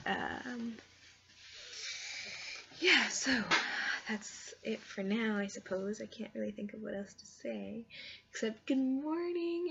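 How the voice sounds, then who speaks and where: A woman chatting casually and ramblingly to her viewers, with an animated, dramatic storytelling manner. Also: medium pitch, vocal fry, slightly rough. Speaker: vlogger and hobby story writer. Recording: dull lo-fi home recording.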